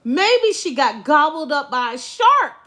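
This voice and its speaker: A woman reading a children's storybook, voicing excitable, mischievous young schoolchildren in an eager, exclaiming way. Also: sits high, measured pace, full character voice. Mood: speculating. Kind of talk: children's storybook read-aloud.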